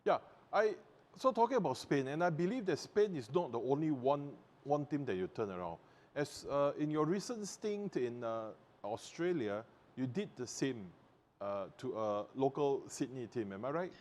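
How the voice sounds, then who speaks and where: A man's voice: mid-range voice, very animated, normal speed, darkish tone. Hesitant male interviewer. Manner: hesitant, many fillers. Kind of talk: interview questions.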